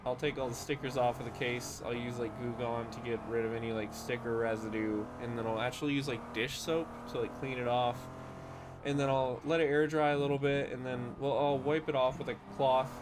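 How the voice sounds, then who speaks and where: Male streamer, just woke up, a little nasal, loose chatty rambling over steady background noise. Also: mid-range voice, fairly quick. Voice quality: slightly nasal. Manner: chatty, many fillers and restarts. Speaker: game streamer. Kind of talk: casual rambling stream chat.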